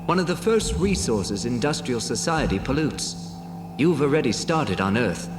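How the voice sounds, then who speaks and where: A man playing a solemn alien rebel leader in an audio storybook, speaking in a measured, formal way. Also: mid-range voice, natural voice. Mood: grave, urgent. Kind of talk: dramatised audio storybook acting. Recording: echoey reverberant hall effect, music behind.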